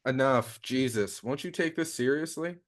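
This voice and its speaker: A man's voice: medium pitch, moderate pace, natural voice. A man reading the part of a serious, easily exasperated gunslinger on a mission, in a clipped, scolding way. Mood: exasperated, worried. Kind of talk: casual table read of a script.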